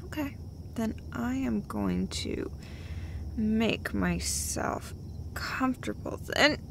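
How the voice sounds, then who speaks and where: A woman playing a caring, gentle girlfriend in an intimate roleplay audio, soft-spoken and hesitant, often slipping into a breathy whisper. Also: fairly high pitch, slow pace, noisy background, full character voice. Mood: worried, tender. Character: caring, gentle, casually sweary girlfriend comforting her partner.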